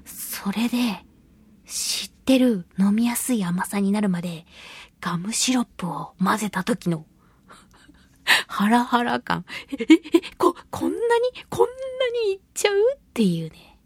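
A woman hosting a casual solo radio talk and reading listener mail, chatty, giggly and expressive, with a slightly breathy voice. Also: medium pitch, measured pace, no echo. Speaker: radio show host and voice actress.

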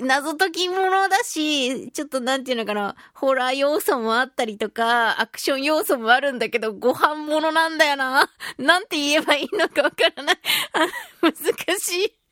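A woman's voice: high voice, moderate pace, fairly bright tone, no echo, clean studio sound. A woman hosting a radio talk show alone, chatting informally and thinking aloud in a giggly manner. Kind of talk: casual chatty radio monologue.

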